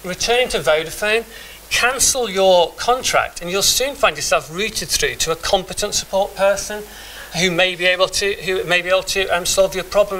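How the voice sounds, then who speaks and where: A middle-aged man speaking to a room, his voice bright and a touch high. He talks loosely, stopping to restart sentences and filling pauses as he goes. A faint hiss sits under the recording. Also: poor audio. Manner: conversational, many restarts and fillers. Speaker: conference speaker. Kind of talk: live tech talk with demo. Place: meeting room.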